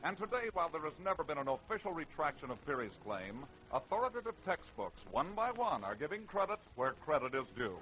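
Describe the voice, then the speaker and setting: Animated American vintage radio narrator, male. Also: a little high, a little fast, few pauses, rough recording. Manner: emphatic, theatrical. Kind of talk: 1930s-40s dramatic scripted narration.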